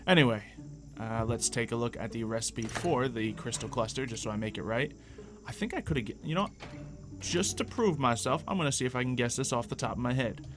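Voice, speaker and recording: A man giving casual, chatty gameplay commentary as a let's-player, thinking aloud as he goes. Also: mid-range voice. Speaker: video game let's-player.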